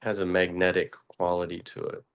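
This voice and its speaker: Slow, flat, low-voiced man guiding meditation. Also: creaky, clean tone. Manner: slow, halting, with long pauses and fillers. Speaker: meditation teacher. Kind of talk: guided meditation.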